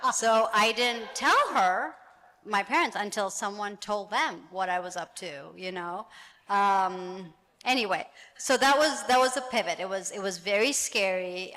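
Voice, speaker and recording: A woman's voice: mid-range voice, a bit bright. A woman panel guest and actor, talking casually and chattily, with many restarts and easy laughs. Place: event hall with audience. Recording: long hall reverb.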